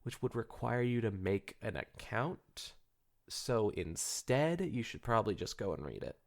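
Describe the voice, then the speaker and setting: A man's voice: on the low side, very animated, unhurried, vocal fry. A man hosting a casual comedy podcast, joking and rambling with some swearing. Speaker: podcast host.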